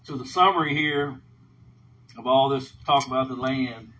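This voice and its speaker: A man's voice: mid-range voice, fairly even intonation, moderate pace, sharp tone. Man teaching, dull lo-fi recording. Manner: explanatory, often checks in with a short "okay?". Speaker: Bible teacher. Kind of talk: informal Bible lesson, reading scripture aloud and explaining. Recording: dull lo-fi sound, faint background noise.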